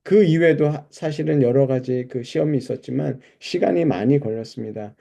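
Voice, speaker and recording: A man's voice: medium pitch, brisk, creaky, dark tone. A man preaching in a conversational, explanatory way, walking listeners through scripture and putting questions to them. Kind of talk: expository Bible sermon, conversational teaching.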